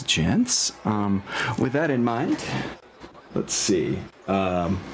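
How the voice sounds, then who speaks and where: A man's voice: fairly low pitch, a little mellow, slight background noise. A man narrating a tabletop role-playing game as game master, in an informal, improvised way.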